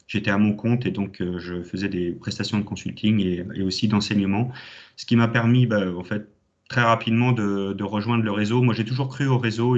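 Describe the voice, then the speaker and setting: A man in his thirties from the Champagne region of France, giving an enthusiastic alumni talk with many hesitations over a video call. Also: on the low side. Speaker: alumni guest speaker. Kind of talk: online conference talk. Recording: dull lo-fi online call audio.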